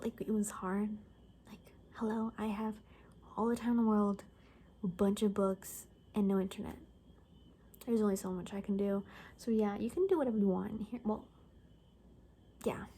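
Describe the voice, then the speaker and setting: A woman playing a chatty, gossipy friend in an apocalypse ASMR roleplay, soft-spoken and breathy, often slipping into whispers, with casual rambling speech. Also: mid-range voice, a little hiss or hum, close to an everyday voice. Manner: rambling, casual, gossipy, full of filler words.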